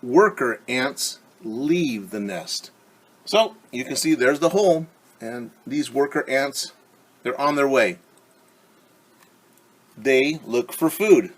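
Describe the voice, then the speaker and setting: A man teaching young children, reading a picture book aloud and gently asking them questions. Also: mid-range voice, expressive, takes it slowly, pauses often, slightly rough. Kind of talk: children's story time read-aloud.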